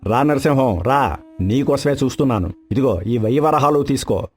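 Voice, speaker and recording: An actor playing a greedy, arrogant, hot-tempered son-in-law in a dramatized folk tale, domineering and threatening. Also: male voice, medium pitch, expressive, normal speed, a little mellow, faint music behind, lightly acted. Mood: scheming, coaxing.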